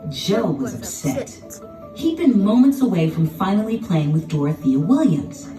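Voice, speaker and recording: A woman narrating a children's storybook in an expressive, dramatic scripted style. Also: lowish voice, moderate pace. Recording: roomy, distant sound with music behind.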